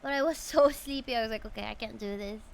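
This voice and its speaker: A woman's voice: fairly high pitch, fast talker, gravelly, crowd noise. A woman, chatting casually and reading game dialogue aloud on a livestream. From Philippines. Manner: chatty, reacts with exclamations.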